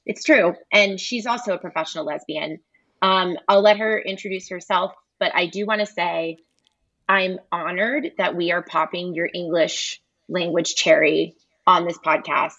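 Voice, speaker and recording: A woman's voice: fairly low pitch, steady tone, moderate pace, fairly bright tone, no room sound, muffled recording. An American woman filmmaker, a podcast guest, talking in a casual, playful way with many fillers. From United States. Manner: chatty, playful, many ums. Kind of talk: casual chat.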